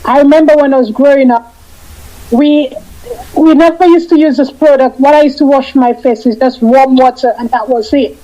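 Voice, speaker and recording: A woman in her fifties chats as a radio guest in a high, mellow voice. She stops and restarts often, repeating words as she gathers her thoughts. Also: muffled recording. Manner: halting, repeats and restarts phrases. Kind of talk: casual radio interview chat.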